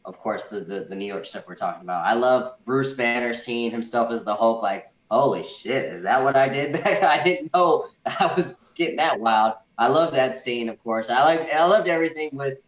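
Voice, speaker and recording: A man in a casual movie review chat, talking as an enthusiastic fan and often repeating words haltingly. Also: medium pitch, lively intonation. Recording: echoey room, dull muffled audio.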